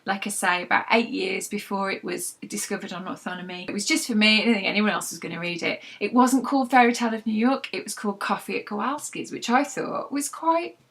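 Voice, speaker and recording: A woman's voice: medium pitch, fairly quick, few pauses, a bit bright, slightly rough. A British woman, a novelist, chatting casually to her followers in an anecdotal, self-deprecating way. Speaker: novelist hosting a read-along vlog. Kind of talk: casual chat to followers with book reading.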